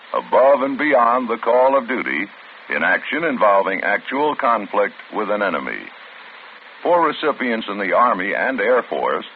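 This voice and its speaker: A man announcing with dignified, measured delivery and a lively, rising and falling lowish voice. The sound is thin and phone-like, with faint hiss, like a vintage broadcast. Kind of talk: formal public service announcement. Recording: tinny old radio broadcast with faint hiss.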